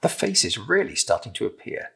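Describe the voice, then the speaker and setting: A middle-aged British man gently and encouragingly demonstrating a craft step by step in simple instructions. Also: mid-range voice, sing-song, fairly quick, creaky, breathy edge. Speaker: craft presenter. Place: indoor room with timber-framed walls, at a table.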